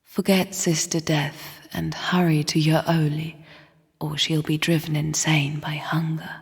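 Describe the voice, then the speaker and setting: Breathy, whispering spirit of the void. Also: female voice, low voice, little pitch movement, exaggerated voice. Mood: solemn. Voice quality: breathy, hushed half-whisper. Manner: slow, solemn, cryptic. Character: somber, bitter sister spirit of the void, guarding her chambers. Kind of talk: video game character voice lines. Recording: long cavernous reverb.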